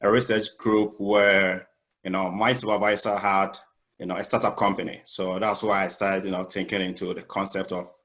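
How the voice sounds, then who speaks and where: Low-voiced man from Ghana, chemist, halting panel intro. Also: flat delivery, fairly bright tone. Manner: halting, many "um" and "you know". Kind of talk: self-introduction on an online panel.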